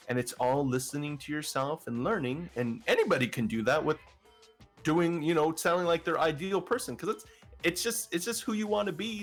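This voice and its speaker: A man with a deeper voice, guesting on an interview and talking in a casual, rambling way with many restarts. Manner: rambling, filler-heavy, frequent restarts. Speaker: vtuber guest.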